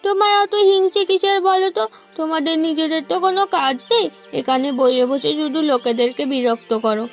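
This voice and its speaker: A woman's voice: high-pitched, fairly even intonation, fairly quick, faint music behind. A woman voicing a cheeky, outspoken young village girl in a folk-tale cartoon, pert and indignant. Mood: scolding.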